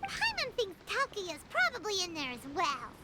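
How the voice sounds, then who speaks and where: An actress playing a tiny, excitable, childlike female fairy companion in a video game, with a squeaky voice. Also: fairly quick, very noisy, full character voice. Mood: curious, indignant. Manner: squeaky, excitable, speaks of self in third person. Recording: loud game music over voice. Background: loud game music.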